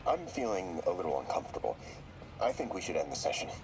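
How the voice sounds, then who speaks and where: An actor playing a composed, probing therapist in a game, asking measured professional questions on a recorded session. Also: male voice, sits low, sing-song, vocal fry, background noise, full character voice. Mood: uneasy, careful. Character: composed, probing male therapist, increasingly uneasy.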